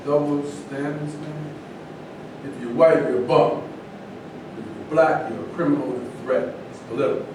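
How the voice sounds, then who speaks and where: A man's voice: steady tone, normal speed, natural voice. A man in a staged reading, playing an outspoken, scornful and militant athlete. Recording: echoey room from far off, rumbling noise.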